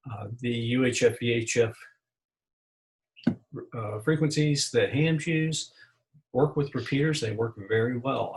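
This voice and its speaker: American guy doing a slide talk, creaky voice, plain methodical explainer. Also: mid-range voice, rough recording. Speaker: amateur radio presenter. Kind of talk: slide presentation lesson.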